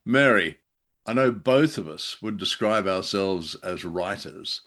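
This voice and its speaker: A man co-hosting a podcast in a conversational review, deliberate and playfully emphatic. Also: deep voice, expressive, a bit bright. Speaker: podcast co-host.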